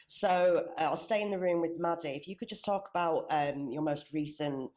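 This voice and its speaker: A woman in her thirties with a low voice and a cold, a little sniffly as she chairs a conference panel. She talks in a friendly, chatty way, with plenty of ums, into a venue microphone. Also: normal speed, a little mellow. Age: 30s.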